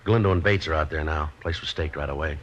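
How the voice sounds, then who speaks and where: Very deep, clipped American police detective. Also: male voice, quick pace. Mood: terse, matter-of-fact. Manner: clipped, matter-of-fact, deadpan. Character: terse, businesslike male police detective sergeant. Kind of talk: 1950s radio drama narration and dialogue. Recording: dull, noisy old radio recording.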